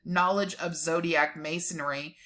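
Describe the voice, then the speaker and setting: Bright-voiced woman reading slowly and dramatically. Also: deep voice, steady tone. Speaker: presenter reading a document aloud with asides. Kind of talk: dramatic reading with casual mocking asides.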